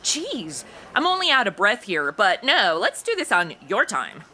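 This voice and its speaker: A woman's voice: medium pitch, wildly swooping pitch, a little slow, sharp tone, full character voice. A woman voicing a sassy, chatty modern young American woman in a comedy audio drama, with sarcastic, exaggerated reactions.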